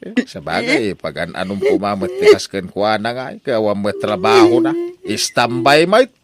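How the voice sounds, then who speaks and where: Comic consoling father played by a man, Ilocano accent; coaxing, plain-spoken, with hugely swooping pitch for radio comedy. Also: huge pitch swings, moderate pace, a loud crowd. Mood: coaxing, teasing. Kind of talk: comedy radio drama acting.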